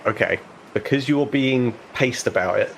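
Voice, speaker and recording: A man as game master, explaining rules and narrating conversationally, pausing to think aloud. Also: mid-range voice, gravelly, slight background noise, low-quality recording.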